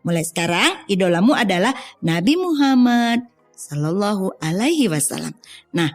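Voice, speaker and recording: A woman narrating a children's story in an animated way, addressing young listeners directly. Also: mid-range voice, normal speed, faint music behind.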